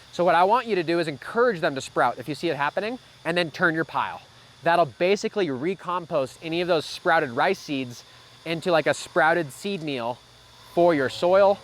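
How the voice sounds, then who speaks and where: A man's voice: a little high, a bit bright, a little hiss or hum. Folksy practical man explaining. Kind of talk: casual explanatory Q&A.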